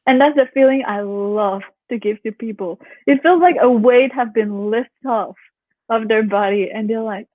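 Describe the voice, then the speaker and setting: A woman's voice: a little high, normal speed, no echo. A young woman from Canada, a yoga instructor, chatting casually as a guest with a relaxing voice; she laughs often and acts out what other people say. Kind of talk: casual interview chat. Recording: phone-like call audio.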